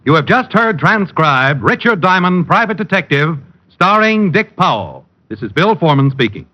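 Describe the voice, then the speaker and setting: A man's voice: fairly high pitch, sing-song, normal speed, highs cut off. A man making scripted announcements as the announcer of a mid-century radio broadcast. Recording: noisy, band-limited old radio broadcast with clicks.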